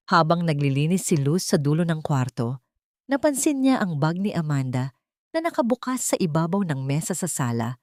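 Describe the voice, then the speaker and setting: A woman's voice: lowish voice, darkish tone, no echo, studio quality. A woman reading dramatic scripted narration as the storyteller of an audio drama.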